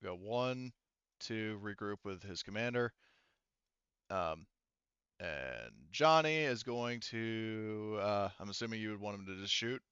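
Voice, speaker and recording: A man with a fairly deep, clear voice runs a tabletop roleplaying game as game master. He thinks aloud and pauses often as he narrates. The headset recording is dry and slightly dull. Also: a bit bright, no room sound.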